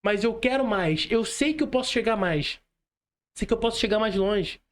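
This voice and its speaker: High-pitched young Brazilian man, emphatic. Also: animated, normal speed. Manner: emphatic, slangy, addresses the listener directly. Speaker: podcast speaker. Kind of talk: motivational casual podcast chat.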